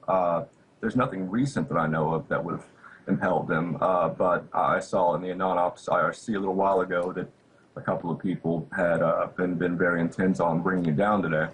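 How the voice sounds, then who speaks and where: A low-voiced American man answers as an interview guest, speaking off the cuff. He halts and searches for his words, with some noise audible behind him. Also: low-quality recording. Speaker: interview guest, activist source. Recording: clear background noise.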